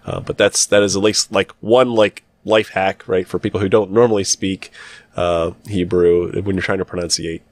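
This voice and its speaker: A man with a very deep voice hosts a casual podcast, feeling his way through questions with plenty of pauses and fillers. The mic is close and dry. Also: no echo. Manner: relaxed, hesitant, many fillers.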